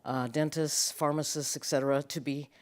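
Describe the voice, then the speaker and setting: A woman, president of a medical association, speaking as a panelist in a measured way with some fillers. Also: low-pitched, steady tone, darkish tone. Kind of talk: panel remarks. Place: conference event hall.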